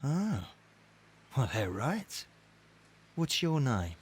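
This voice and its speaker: Curt, menacing gang boss. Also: male voice, mid-range voice, big pitch swings, very slow, slightly rough, no room sound, exaggerated voice. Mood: gruffly businesslike. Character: suspicious, bossy male criminal gang leader. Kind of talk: scripted video game acting.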